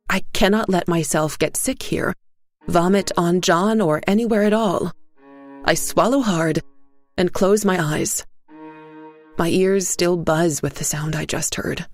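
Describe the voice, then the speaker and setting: A woman narrates dark fiction in the first person. Her voice is fairly low and creaky, with grave, deliberate phrasing. Soft music sits underneath. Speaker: story narrator.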